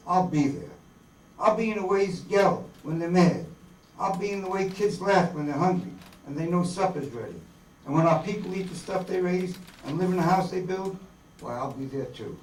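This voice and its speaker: A man playing an earnest, idealistic young farm-born outlaw in folksy rural dialect, in a staged radio drama reading. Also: high-pitched. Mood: earnest conviction. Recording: roomy hall, distant mic, faint noise.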